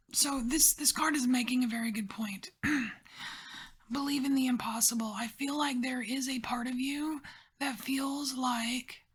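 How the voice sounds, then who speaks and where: A woman giving a whispered tarot reading, chatty, rambling and encouraging, her voice breathy and hushed throughout. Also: high voice. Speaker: tarot reader.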